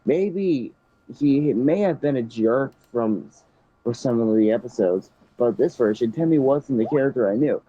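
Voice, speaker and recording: Brief: young man reading a story aloud with commentary, mellow voice, dry, dull home recording. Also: medium pitch, lively intonation, a little slow, slightly rough, dry recording. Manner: stumbling, restarting read-aloud with laughs.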